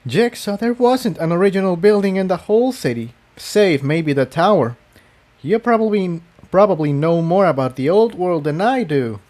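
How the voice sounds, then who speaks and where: A man voicing a warm, curious female pony sorceress in an earnest, wide-eyed manner for a story reading. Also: high voice, expressive, moderate pace, voice pushed up. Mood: amused.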